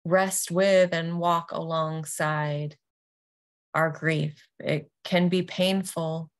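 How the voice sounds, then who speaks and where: A woman introducing a guided meditation as a yoga nidra teacher, speaking gently and deliberately with long pauses. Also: deep voice, flat delivery.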